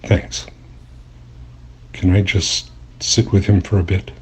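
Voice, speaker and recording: An actor playing an earnest, troubled journalist in an audio drama, speaking deliberately. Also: male voice, sits low, flat delivery, darkish tone, slightly rough, full character voice. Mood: grieving, resolute. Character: earnest, troubled male journalist, loyal friend. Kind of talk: audio drama acting and narration.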